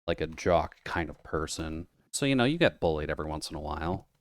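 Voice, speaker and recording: A man in casual chat while gaming, talking quietly with a low-energy, mumbled delivery over a voice call. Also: lively intonation, brisk, no room sound, studio quality. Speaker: streamer's guest playing a game.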